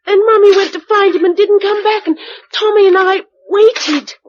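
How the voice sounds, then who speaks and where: A woman's voice: extremely high pitch, fairly even intonation, moderate pace, dull audio. An actress playing a brave, matter-of-fact nine-year-old English girl in a radio drama, plain-spoken and earnest. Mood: subdued, matter-of-fact.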